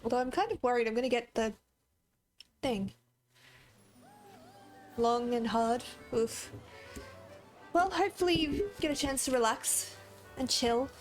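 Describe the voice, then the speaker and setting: A woman's voice: a little high, unhurried, long pauses, faint music behind. Chatty young woman, Australian-American accent. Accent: Australian with American drift. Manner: chatty, rambling, laughs often. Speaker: gaming streamer. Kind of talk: casual livestream chat while playing.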